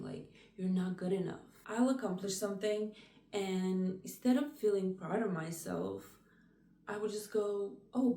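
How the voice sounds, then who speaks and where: Young woman, vlogger, slow unhurried talk, roomy sound a bit off-mic. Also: on the low side, recorded from a distance. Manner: soft, personal, many "like" fillers. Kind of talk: reflective talk to camera.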